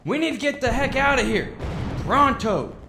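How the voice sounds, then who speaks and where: A man's voice: very high voice, sing-song, moderate pace, heavily acted. A man playing a bold, urgent fighter pilot in a radio war drama. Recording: echoey, noisy track with gunfire.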